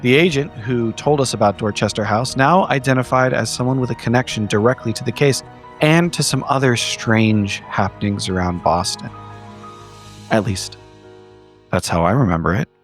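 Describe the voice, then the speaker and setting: Man narrating formally over music. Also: medium pitch. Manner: measured, wordy, formal storytelling phrasing. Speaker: player in a tabletop horror roleplaying game. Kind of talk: in-character recap narration for an actual play. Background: background music.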